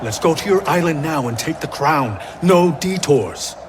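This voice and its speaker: A steadfast warrior ally, with a slightly high, lively voice that talks firmly and to the point. Game score music runs behind him. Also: male voice, a little slow, noisy background.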